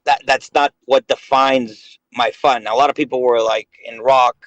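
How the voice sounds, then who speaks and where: A man's voice: mid-range voice, steady tone, moderate pace, fairly bright tone, dry recording, highs cut off. A man in his late thirties chatting casually on a voice call, rambling and hesitant with many fillers. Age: 30s. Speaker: gaming guest. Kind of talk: casual chat.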